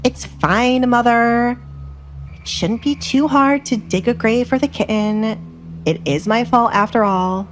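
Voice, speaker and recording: A woman's voice: a little high, unhurried, slight background noise, pitched above the performer's own voice, natural voice. A woman voicing a spoiled, scheming young noblewoman in an audio drama, sulky and falsely meek. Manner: sulky, put-on contrition.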